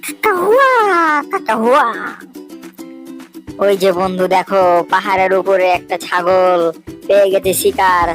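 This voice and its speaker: A sly, cheerful jackal from a cartoon fable, voiced by a woman. The talk is chatty and full of teasing exclamations, at a medium pitch. Soft music plays behind. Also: expressive, unhurried, pauses often, close to an everyday voice. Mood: excited. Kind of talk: cartoon character voice acting.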